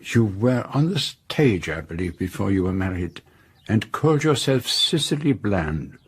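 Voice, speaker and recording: A foreign gentleman detective in a radio drama. He is courteous and self-assured, with formal, precise, measured phrasing and a shrewd, probing manner. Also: lowish voice, very animated, husky, full character voice. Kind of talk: radio drama acting and first-person narration.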